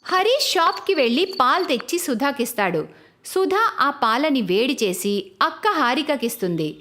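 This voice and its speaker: A woman's voice: sits high, very animated, moderate pace, fairly bright tone, a little hiss or hum. A woman reading scripted narration for a moral story as its narrator.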